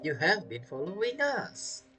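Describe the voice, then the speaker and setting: Female game streamer: low voice, animated intonation, casual reactions, game music behind. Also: a little slow. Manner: reacts aloud, thinks out loud. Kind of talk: casual gameplay commentary.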